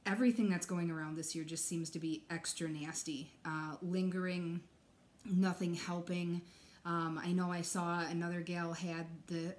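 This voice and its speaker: A chatty young woman talks to her viewers from a couch at home, filling her pauses with ums and soft laughs. Her voice is a little low-pitched and still recovering from an illness, with a slight cough left in it. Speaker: stitching vlogger. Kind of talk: casual chat to camera. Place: living room couch.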